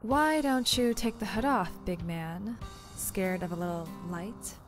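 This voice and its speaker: A woman's voice: medium pitch, measured pace, close to an everyday voice. A woman playing a secretive, playful female co-worker in an audio drama, with breezy, teasing banter. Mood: sly. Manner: breezy, flirty banter with laughs. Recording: music bed behind the voice.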